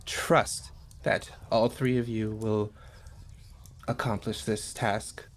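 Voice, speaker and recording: A man's voice: lowish voice, little pitch movement, takes it slowly, voice pushed down. Man playing a curt, stern woman commander. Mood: firm, quiet. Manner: curt, condescending. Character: stern, imperious female mission commander.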